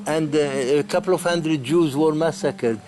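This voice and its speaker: An older man from Morocco recalling his childhood in a documentary interview, speaking earnestly and haltingly. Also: fairly high pitch, darkish tone.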